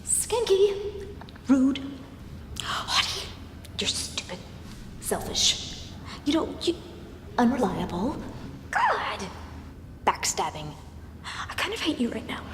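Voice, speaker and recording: A woman's voice: sits high, huge pitch swings, slow, pauses often, heavily acted. An actress playing an angry, jealous woman in a comic film monologue, whispering furiously into a phone with indignant outbursts. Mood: furious, insulting. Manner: hushed, indignant, swooping into outbursts. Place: large museum gallery, indoors. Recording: echoey reverberant hall, some noise.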